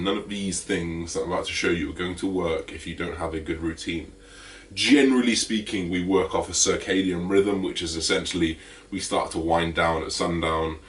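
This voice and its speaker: Guy, deep raspy voice, chatty vlog, sounds a bit far from the mic. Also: a little hiss or hum. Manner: energetic, chatty, full of fillers. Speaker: fitness vlogger. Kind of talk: casual vlog talk to camera.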